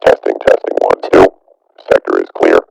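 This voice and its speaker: A man voicing a cold game soldier with a clipped, procedural manner, his voice pitch-shifted down and distorted through a radio filter. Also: normal speed, recorded from a distance, very noisy. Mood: cold, commanding. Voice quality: very deep, distorted, gravelly. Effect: pitch-shifted down, radio filter with bit-crushed distortion.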